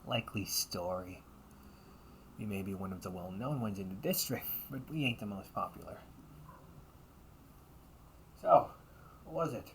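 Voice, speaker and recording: A man's voice: on the low side, a little slow, pauses often, fairly bright tone, slight background noise. A man acting as a young delinquent older brother in an audio roleplay, talking in a casual, slangy, needling way. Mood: suspicious, sarcastic.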